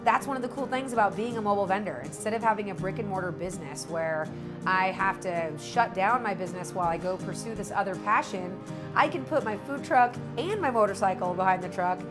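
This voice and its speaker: A woman's voice: mid-range voice, fairly quick. A woman chef talking reflectively in a documentary interview, earnest and open, with frequent fillers. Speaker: chef and motorcycle rider. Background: music.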